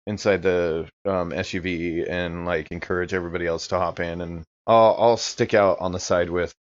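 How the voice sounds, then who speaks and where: A man's voice: deep voice, steady tone, normal speed. A man chatting casually as a player in a tabletop role-playing game, on a slightly dull recording. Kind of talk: casual improvised role-play chat.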